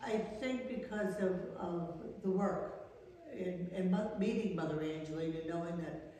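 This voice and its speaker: An elderly woman in her eighties with a Maine accent, a religious sister reminiscing in an interview in a halting, rambling way. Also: lowish voice, mellow. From Maine, United States. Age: 80s. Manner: halting, rambling recollection with trailing sentences. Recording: echoey reverberant room, distant mic.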